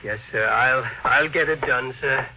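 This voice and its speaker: An actor playing a timid, deferential older engineer in a 1950s radio drama, hesitant and meek. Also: male voice, mid-range voice, unhurried, a little hiss or hum, close to an everyday voice. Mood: meek, uneasy. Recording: dull old radio recording.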